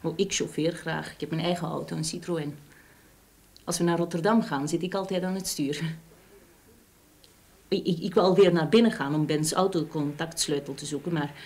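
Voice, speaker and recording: A woman's voice: sits low, steady tone, normal speed, darkish tone. An actress playing a prim, haughty married lady in a Dutch radio drama, speaking in a stiff, curt, disdainful way. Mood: curt, factual. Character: haughty, prim, level-headed married upper-class woman. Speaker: actor.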